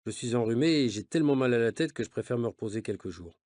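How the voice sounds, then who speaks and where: Man reading dialogue in measured tones. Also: medium pitch, lively intonation, darkish tone, no room sound. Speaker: audio reader of a learner's novel. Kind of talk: reading dialogue for language learners.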